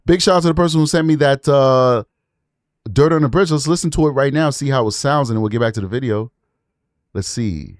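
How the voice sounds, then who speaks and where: A man's voice: medium pitch, a little fast, dry recording, clean studio sound. A man hosting a true crime livestream, casually commenting on audio clips and repeating words for emphasis.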